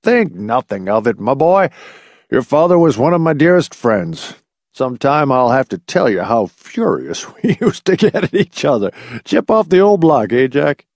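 A man's voice: mid-range voice, very animated, moderate pace, a little mellow, no echo, studio quality, voice pushed up. A man voicing a bewildered old male scientist in an audiobook, hesitant, stammering and genial. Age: older.

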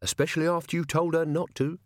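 A man's voice: fairly high pitch, flat delivery, quick pace, dry recording, clean studio sound, pitched above the performer's own voice, close to an everyday voice. A man voicing a cheeky old manservant, blunt and casual, with colloquial speech and dropped consonants. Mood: blunt, matter-of-fact.

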